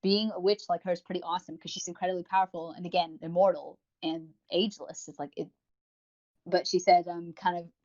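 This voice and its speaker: A woman's voice: lowish voice, steady tone, a little fast, a little mellow. A woman co-hosting a podcast, giving casual, wordy commentary in an informal, rambling way. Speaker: podcast co-host. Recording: dull lo-fi voice recording.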